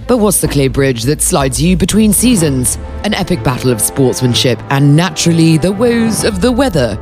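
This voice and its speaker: A woman's voice: low voice, very animated, normal speed, music in the background. A woman hosting a sports podcast, interviewing a guest on location at a tennis venue in a playful, teasing way. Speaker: interviewer and show host.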